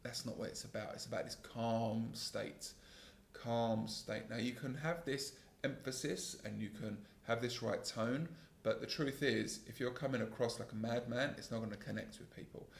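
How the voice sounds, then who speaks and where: Calm gravelly-voiced man in an echoey room. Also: medium pitch, moderate pace. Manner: calm, earnest, self-critical, with frequent restarts. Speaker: podcast host and sales trainer. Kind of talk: solo reflective podcast monologue.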